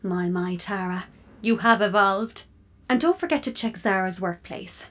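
A woman's voice: mid-range voice, lively intonation, unhurried, a bit bright. A woman playing an opinionated, witty talking fridge in an audio drama, with a wry, arch manner.

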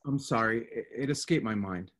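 A man's voice: mid-range voice, a little fast, fairly bright tone, natural voice. A man playing an inattentive, casual husband in his thirties in a radio drama. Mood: sheepish, dismissive. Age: 30s.

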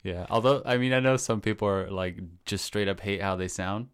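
A young man with a low, smooth, resonant voice talks through a podcast episode in an easygoing way. He drops curses without heat and often slips into quoting what he was thinking. His talk is loose and conversational. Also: fairly quick. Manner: relaxed, swears casually, quotes his own thoughts aloud. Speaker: podcast co-host. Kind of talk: casual chat.

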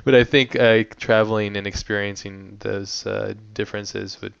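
A man's voice: fairly low pitch, little pitch movement, normal speed, gravelly, clean tone, no room sound. A man from the United States hosting a podcast, chatting in a laid-back, joking way with lots of "like". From United States (San Diego, Hawaii). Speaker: podcast host. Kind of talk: casual interview chat. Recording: dry, lo-fi audio.

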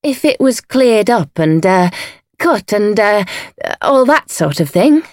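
A woman's voice: medium pitch, big pitch swings, takes it slowly, darkish tone, no echo, studio quality, pitched above the performer's own voice. A woman voicing a timid, easily cowed upper-class English lady in an audiobook, hesitant, faltering and apologetic. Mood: nervous hope. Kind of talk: audiobook character voice.

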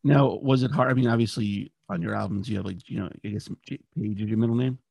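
A man's voice: fairly low pitch, quick pace, creaky, a little mellow, breathy edge, no echo. A man hosting a podcast interview in a casual, enthusiastic way while he is losing his voice. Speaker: podcast host.

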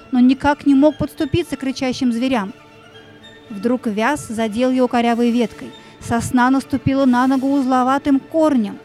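Female narrator for a children's tale: high, soft-toned, suspenseful, with faint music underneath. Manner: expressive, suspenseful. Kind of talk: dramatic scripted storytelling.

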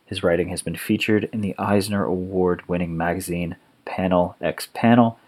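A man hosting a podcast, asking his guest questions in a friendly, relaxed way. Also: deep voice, moderate pace. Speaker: podcast host. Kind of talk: podcast interview.

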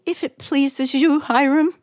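A woman acting a frightened, unhinged woman in a radio play, halting and dramatic, repeating her phrases. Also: high voice, steady tone, no room sound, clean studio sound. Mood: guarded, placating. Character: frightened, unhinged woman, now giddy and triumphant. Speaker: actor. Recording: music behind the voice. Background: music.

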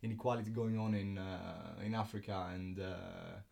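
A man's voice: low-pitched, fairly even intonation, moderate pace, vocal fry, darkish tone. Hesitant young man from Greece. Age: young adult. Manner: hesitant, many ums and restarts. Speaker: guest filmmaker. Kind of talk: casual interview.

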